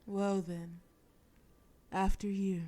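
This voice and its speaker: Flirty female mafia boss, slow and mellow, as a woman plays her, teasing tone, audio roleplay. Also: medium pitch, level tone. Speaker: voice actress.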